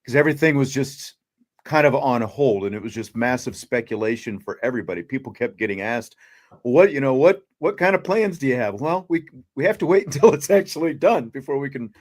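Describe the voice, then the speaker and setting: A man's voice: medium pitch, lively intonation, a little fast. A man hosting a sports talk show, chatting casually as he introduces and interviews.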